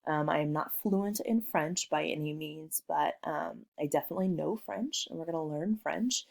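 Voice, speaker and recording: A woman from Missouri with a notably low voice, teaching a lesson she recorded at home. She talks in a friendly, unhurried way with plenty of ums as she explains things to her students. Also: vocal fry. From Missouri, USA. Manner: friendly, relaxed, with frequent ums. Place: working from home.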